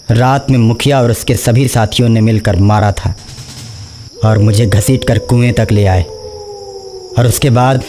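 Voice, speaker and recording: A man's voice: medium pitch, background noise. A man voicing the solemn, accusing ghost of a murdered temple priest in a cartoon horror story. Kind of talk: Hindi animated horror story acting.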